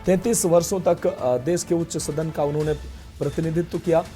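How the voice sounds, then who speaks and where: A man anchors breaking news in a fairly high, soft-edged voice. He speaks partly off the cuff, with halting, repetitive phrasing. Faint music plays underneath. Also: steady tone.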